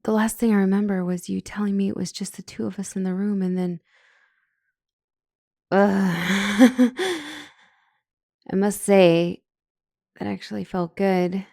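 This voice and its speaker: Woman as flustered lady counselor in asmr drama, mellow voice, close dry recording, breathy n hesitant. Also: mid-range voice, little pitch movement, unhurried, long pauses, dry recording. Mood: dazed, pleased. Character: female doctor, couples counselor, flustered and smitten. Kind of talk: ASMR roleplay audio drama.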